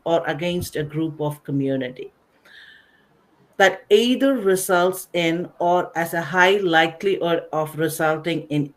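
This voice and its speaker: A woman presenting a slide-based nursing lecture, explaining in short phrases with pauses in a didactic way. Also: low voice, poor audio. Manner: didactic, recapping, pausing between short phrases. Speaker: webinar presenter, nursing educator.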